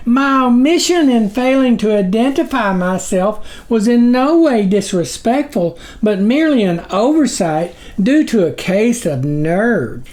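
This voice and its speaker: A man voicing a tiny, nervous demon underling in a squeaky voice, fawning with flowery, deferential speech for a storybook. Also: expressive, unhurried, few pauses, a little mellow.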